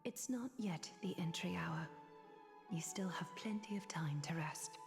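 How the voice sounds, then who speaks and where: A poised, regal woman for a video game, soft-spoken and formal with tender menace. The voice sits fairly low, breathy and creaky at the edges. Long reverb and music surround it. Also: unhurried. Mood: soothing. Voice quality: breathy, near-whispered. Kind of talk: scripted video game voice acting.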